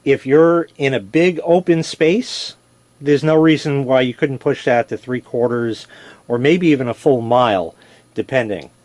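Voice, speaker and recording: A man's voice: medium pitch, a little slow, darkish tone, no echo. A man giving a casual, plain-spoken product demonstration, explaining as he goes and correcting himself. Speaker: product reviewer. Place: indoors in a basement.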